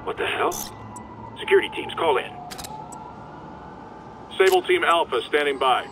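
An actor playing curt security guards trading clipped radio orders in a video game. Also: male voice, fairly high pitch, normal speed, music in the background, lightly acted. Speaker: game extras.

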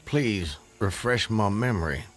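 Low-voiced, guarded gentleman planter. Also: lively intonation. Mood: wistful. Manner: formal, measured, reserved way of talking. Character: proud, guarded Southern gentleman planter of Civil War era. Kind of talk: radio drama acting.

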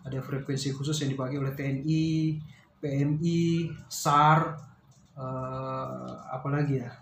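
A man, explaining casually and hesitantly, as in an unboxing vlog. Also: a little high. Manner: hesitant, pausing to search for words. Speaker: vlogger reviewing a product. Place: small bare-walled room indoors. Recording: roomy echo, faint background noise.

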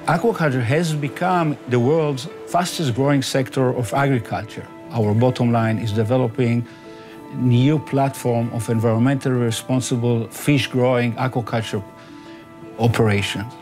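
An older scientist in a lab interview, speaking in a measured way at a medium pitch. Faint music sits under him. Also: male voice, steady tone. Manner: explains with hand gestures, measured. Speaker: research scientist. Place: indoor laboratory.

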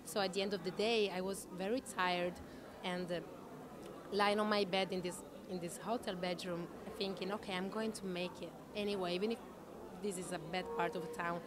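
Actress guest, woman in her thirties. Animated, self-correcting anecdotes into a handheld mic, with people chattering behind. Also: lowish voice, moderate pace. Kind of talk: chatty anecdotal interview answers. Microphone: handheld interview microphone shared with interviewer. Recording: busy chatter in the background.

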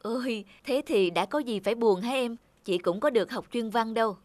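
A woman playing a kind young author in a cartoon, calm, reassuring and instructive. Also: a little high, moderate pace, background noise, natural voice. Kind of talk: animated cartoon dubbing.

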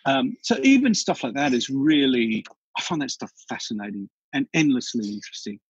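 Husky Australian man, chatty storyteller. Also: mid-range voice, fairly quick, dry recording, highs cut off. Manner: chatty storyteller, animated, frequent restarts. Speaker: podcast guest. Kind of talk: casual interview chat.

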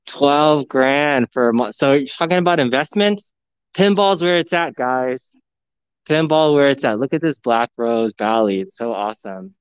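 Excited man touring a pinball showroom. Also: mid-range voice, moderate pace, clear, resonant tone, dry recording, dull audio. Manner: excited, enthusiastic, addressing viewers. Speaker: vlogger, live streamer. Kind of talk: live walkthrough commentary. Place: large indoor arcade showroom. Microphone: phone camera.

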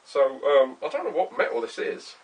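Middle-aged man, husky, casual rambling vlogger, sweary, indoors at a camera. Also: a little high, very animated, normal speed. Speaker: vlogger, workshop owner. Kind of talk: casual chatty workshop vlog. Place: small cluttered workshop, indoors.

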